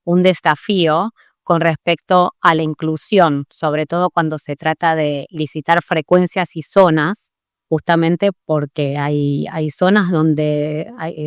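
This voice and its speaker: A woman's voice: sits low, clear, resonant tone, dry recording, studio quality. An Argentine woman hosting a podcast interview, thinking aloud in a conversational way with some restarts. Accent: Argentine Spanish. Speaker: podcast host.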